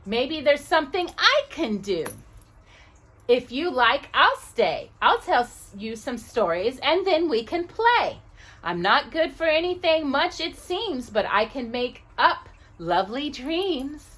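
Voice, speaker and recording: Woman voicing a tiny high-pitched sweet girl angel. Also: very animated, slow pace, fairly bright tone, exaggerated voice. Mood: comforting.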